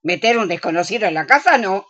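A woman's voice: mid-range voice, lively intonation, quick pace, fairly bright tone. Woman acting bossy, theatrical older Argentine lady. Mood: dry, firm. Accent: Rioplatense Argentine. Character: tipsy, bossy older woman ogling men online. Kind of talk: comic radio play acting.

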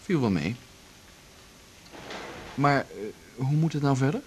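A man acting a composed, persuasive character in a radio drama, speaking in a measured, everyday way. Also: medium pitch, animated, a little mellow, a little hiss or hum, close to an everyday voice, poor audio. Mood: calm, probing. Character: composed, persuasive man pressing a private deal.

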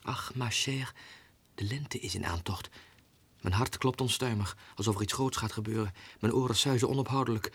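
A man's voice: lowish voice, moderate pace, a bit bright, slightly rough. A man voicing a pampered, gossipy female lapdog's letters in an affected, dramatic way for a 1960s radio drama. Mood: swooning.

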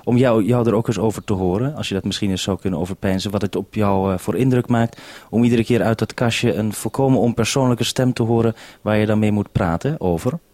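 A man's voice: lowish voice, brisk, mellow, no room sound. A man interviewing informally as a radio programme maker, explaining and asking questions in unscripted talk. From Netherlands.

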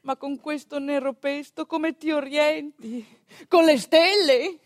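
A woman with a northeastern Italian accent telling a theatrical monologue in an expressive, conversational manner. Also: sits high, slow, no echo, studio quality. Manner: expressive, conversational, with rhetorical questions and asides. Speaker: stage actor and storyteller.

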